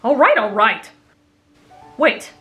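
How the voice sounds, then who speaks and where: A woman playing an excitable, anxious, whiny teenage boy in an anime fan drama, dramatic and often sarcastic. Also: on the high side, takes it slowly, narrow, tinny audio, soft background music. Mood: exasperated.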